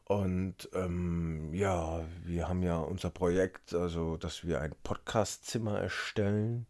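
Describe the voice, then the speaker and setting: A man's voice: sits low, steady tone, slow pace, darkish tone. A man co-hosting a casual podcast, joking and laughing often and trailing off mid-sentence. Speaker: podcast co-host. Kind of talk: casual chat.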